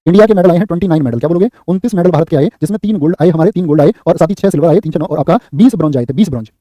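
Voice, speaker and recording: Male coach, high voice, very fast repeating quiz delivery. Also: dark tone, no echo. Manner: rapid, repeats answers and asks "what will you say?". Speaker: exam coaching teacher. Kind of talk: live online class, quizzing students.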